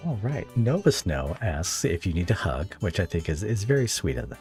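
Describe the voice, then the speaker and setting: A man's voice: low voice, sing-song, moderate pace. A man hosting a casual podcast interview, informal and a little hesitant in his phrasing. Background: soft guitar music.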